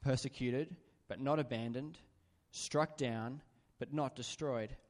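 A man reading a Bible passage aloud in a church, steady and even-paced. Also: mid-range voice, slow pace. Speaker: scripture reader. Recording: long hall reverb in church.

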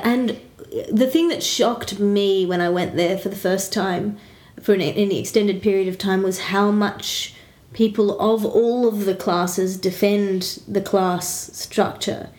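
A woman's voice: mid-range voice, a little mellow. A woman with an Australian accent in casual chat, talking through her points thoughtfully and qualifying them as she goes. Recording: roomy echo.

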